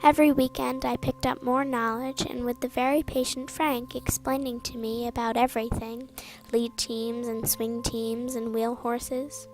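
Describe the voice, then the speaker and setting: A woman's voice: on the high side, level tone, unhurried, rough recording. A woman narrating a written tribute in a sincere, plain reading style. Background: faint background music.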